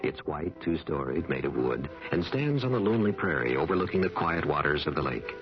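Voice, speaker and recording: A man's voice: very deep voice, big pitch swings, a little fast. An American man narrating a scripted radio tourism commercial in a folksy storytelling manner. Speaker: commercial announcer. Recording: muffled old radio broadcast, music underneath. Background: music.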